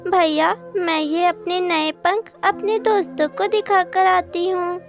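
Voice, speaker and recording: Small earnest songbird for a kids' cartoon, played by a woman: tiny high voice, unhurried pace. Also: steady tone. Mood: delighted. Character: small young songbird, childlike, earnest and kind. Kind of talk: children's cartoon dubbing in Hindi. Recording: music behind the voice.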